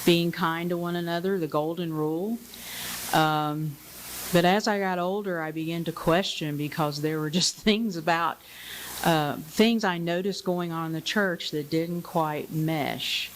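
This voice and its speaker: An older woman with a low voice tells her story from a church pulpit. She is conversational and unhurried, with small laughs and plenty of fillers. Faint room noise sits behind her. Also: steady tone, rough recording. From Bristol, Tennessee area. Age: 60s. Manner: conversational, chuckles lightly, many fillers. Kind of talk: personal testimony. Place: church sanctuary. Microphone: pulpit microphone.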